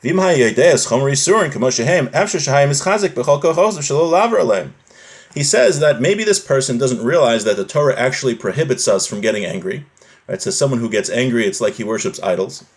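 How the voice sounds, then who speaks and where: A man's voice: medium pitch, animated, fairly quick. A man teaching a live religious class, reading from a text, translating it and explaining it point by point, often rephrasing.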